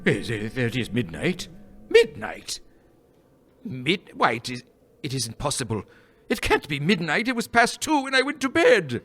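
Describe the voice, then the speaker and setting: A man's voice: fairly high pitch, huge pitch swings, unhurried, fairly bright tone, faint music behind, exaggerated voice. A man acting a nervous, sentimental old miser in a live radio play, stammering and repeating his words. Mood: bewildered, fearful. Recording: live stage recording with background noise.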